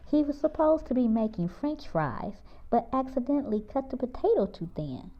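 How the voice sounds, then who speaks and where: A woman's voice: big pitch swings, normal speed. Woman acting storybook mother, proud, instructive, teacherly, lo-fi recording. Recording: muffled, low-fidelity audio.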